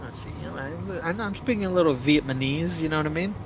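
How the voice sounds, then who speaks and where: A man's voice: a little high, quick pace. A young man streaming outdoors, chatting in a teasing, joking way, laughing often and restarting his words. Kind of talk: joking casual chat. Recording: noisy outdoor street recording.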